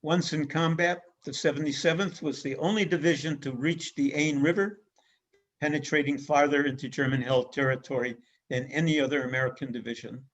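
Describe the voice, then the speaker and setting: A man giving an illustrated history lecture as a guest speaker, speaking in a measured way with frequent pauses and fillers. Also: a little high, steady tone. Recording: dull lo-fi recording.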